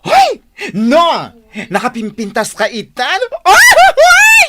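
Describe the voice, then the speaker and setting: A woman with an Ilocano accent playing an excitable, showy, gossipy office friend in a radio comedy, full of gushing exclamations. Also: very high-pitched, very noisy, full character voice. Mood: excited shrieking. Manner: shrieking exclamations, gushing, drawn-out delivery.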